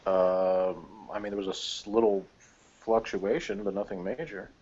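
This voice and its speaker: A man with a fairly low voice gives his opinions in a casual review conversation. He talks in a measured, rambling way, often hesitating as he works out a point. The sound is muffled and lo-fi, like an online call. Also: a little mellow. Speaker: guest co-reviewer.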